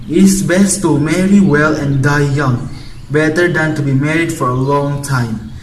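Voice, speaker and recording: Guy playing a preachy stern friar consoling mourners, highish voice, echoey room, amateur radio play. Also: slight background noise, lightly acted. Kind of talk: amateur student radio drama acting. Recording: echoey, distant mic, music behind.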